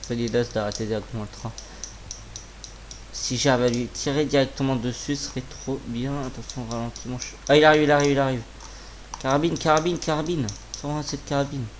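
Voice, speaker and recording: Guy doing casual gaming commentary, kinda hoarse, normal pitch, sweary and mumbling to himself, noisy background w keyboard clicks. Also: steady tone, fairly quick. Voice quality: slightly hoarse. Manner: informal, muttering to himself, swearing. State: sore throat with a cough. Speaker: gaming video creator. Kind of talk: casual live gameplay commentary.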